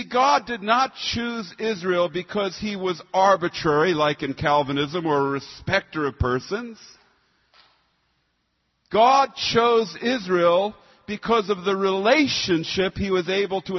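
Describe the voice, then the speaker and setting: A man preaching a sermon with scripture readings, speaking in an emphatic, expository and rhetorical manner. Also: high voice. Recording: muffled sound in a long-reverb hall.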